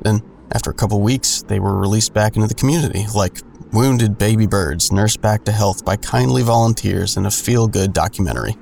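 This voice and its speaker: A man's voice: fairly low pitch, gravelly, a little mellow, slight background noise. An actor playing a jaded man who narrates his own story in an audio drama with wry, sardonic delivery. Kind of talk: first-person noir-like audio drama narration.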